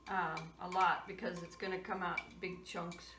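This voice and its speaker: A woman's voice: on the low side, level tone, moderate pace, soft background music. Older lady walking through a recipe in her kitchen, roomy echo, mic far off. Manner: plain, step-by-step instructions. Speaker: home cook. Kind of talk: casual cooking demonstration. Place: home kitchen, indoors. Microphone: camera across the kitchen. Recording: echoey kitchen, camera far off, loud noise.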